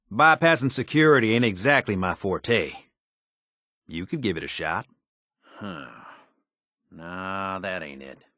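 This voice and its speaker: A man's voice: deep voice, sharp tone, no room sound, studio quality, full character voice. An actor playing an easygoing, wry wasteland handyman in a video game, with a folksy, slangy way of talking. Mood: matter-of-fact, then frustrated muttering. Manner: folksy, colloquial, drawling slang. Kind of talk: video game character dialogue.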